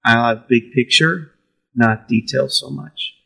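Man, pastor, raspy, gravelly, conversational storytelling, self-deprecating. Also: medium pitch, a little slow, pushed and bright, poor audio. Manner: self-deprecating, laughs easily.

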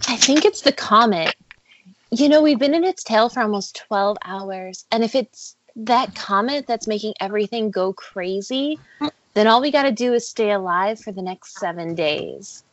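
A woman's voice: mid-range voice, normal speed, slight background noise, natural voice. A woman reading the part of a flirtatious, teasing film actress in an amateur table read over an internet call. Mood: breezy. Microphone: remote call from home. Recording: muffled internet call audio.

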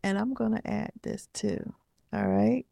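A woman co-hosting a casual chat with her husband, talking in a reflective, soft-spoken way. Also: medium pitch, fairly even intonation, unhurried, vocal fry, dry recording, clean studio sound. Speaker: podcast co-host. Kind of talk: casual married-couple chat.

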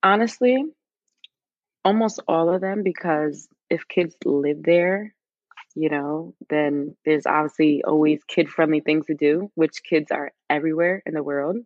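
A woman's voice: sits low, a little slow, dry recording, dull audio. A woman chatting informally as a podcast guest, in a casual and conversational way.

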